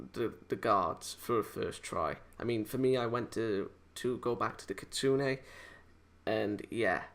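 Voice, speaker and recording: A man giving a casual, unscripted review in a halting, hesitant, rambling way, often correcting himself as he goes. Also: mid-range voice, gravelly. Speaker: amateur video game reviewer.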